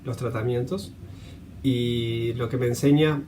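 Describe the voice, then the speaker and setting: A man giving a halting personal testimonial, searching for his words. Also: medium pitch, fairly even intonation, dark tone, a little hiss or hum. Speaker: patient sharing personal experience.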